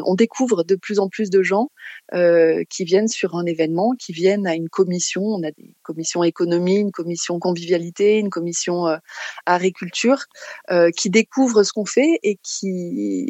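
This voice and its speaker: Halting French woman, dry close sound. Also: lowish voice, dark tone, no room sound. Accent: French from France. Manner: halting, searching for words, repeating phrase starts. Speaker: interview guest, community association organiser. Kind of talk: spontaneous interview answers.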